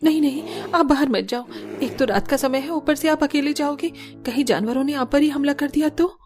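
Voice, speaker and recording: A woman's voice: sits high, brisk, darkish tone, soft background music, voice pushed up, lightly acted. A woman voicing a poor, earnest young daughter-in-law in a cartoon family story. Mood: worried. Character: young poor daughter-in-law, anxious, sensible and plain-spoken.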